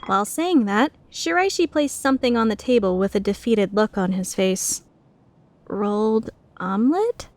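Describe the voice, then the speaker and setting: A woman's voice: medium pitch, lively intonation, moderate pace, darkish tone, no room sound, close to an everyday voice. A woman playing a flustered, skeptical young woman who narrates her own story introspectively in a fan-dubbed drama. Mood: embarrassed, wondering. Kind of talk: fan dub drama with first-person narration.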